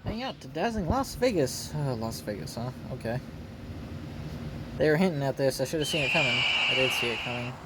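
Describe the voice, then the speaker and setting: A man's voice: mid-range voice, lively intonation, a little fast, heavy background noise. A man giving casual game commentary as a streamer, reading dialogue aloud. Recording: loud game music behind voice. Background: game music.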